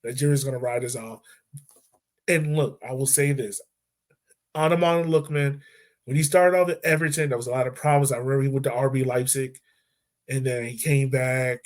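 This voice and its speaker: A man co-hosting a podcast, talking football in a loose, animated way with lots of fillers and restarts. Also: medium pitch, a little fast. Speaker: podcast co-host. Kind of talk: casual sports chat.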